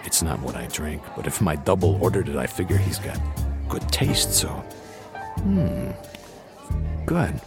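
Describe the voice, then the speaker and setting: A man's voice: very deep voice, huge pitch swings, measured pace, long pauses, heavily acted. An actor playing a world-weary barfly who tells his tale in hardboiled radio drama style, laconic and musing. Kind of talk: radio drama narration, hardboiled first person. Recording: loud music bed behind the voice.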